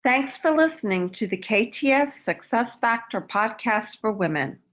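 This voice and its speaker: A woman's voice: fairly high pitch, expressive, moderate pace, a bit bright. A woman reading a scripted, upbeat outro for her podcast. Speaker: podcast host. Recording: slightly dull lo-fi audio.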